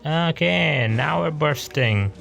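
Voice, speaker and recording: A man's voice: a little high, expressive, slow pace, vocal fry, fairly bright tone. A man giving casual commentary as he plays a video game, reacting in short muttered phrases. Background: game music.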